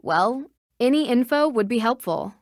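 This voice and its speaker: An actress playing a polite, inquisitive young woman detective in a video game, speaking in a curious, even-tempered way. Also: a little high, moderate pace, no echo, studio quality, natural voice. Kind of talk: scripted video game dialogue.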